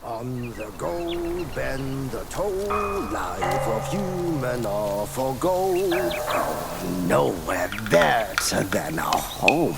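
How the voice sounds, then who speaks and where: Creaky, swooping game creature. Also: male voice, medium pitch, big pitch swings, very slow, pauses often, loud music behind the voice, full character voice. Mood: carefree, pleased. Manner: sing-song, exclamatory. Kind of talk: theatrical game character acting.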